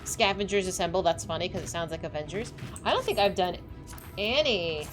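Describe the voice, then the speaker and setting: Chatty young woman streamer, lively. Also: fairly quick, music in the background. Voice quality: slightly squeaky. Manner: excitable, chatty, laughing often. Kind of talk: casual chat while playing a game.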